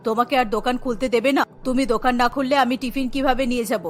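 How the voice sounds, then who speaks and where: Lady doing a cartoon customer, pleading and talking fast, light music behind. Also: high-pitched, fairly even intonation, lightly acted. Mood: dismayed. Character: pleading regular customer at a food stall. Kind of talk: Bengali cartoon dialogue.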